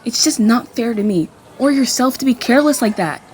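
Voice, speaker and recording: A woman's voice: fairly high pitch, animated, a little fast, darkish tone, slight background noise, lightly acted. A woman acting a hot-tempered, jealous young fairy in an amateur roleplay drama, speaking in a pointed, confrontational way. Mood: hurt, defiant.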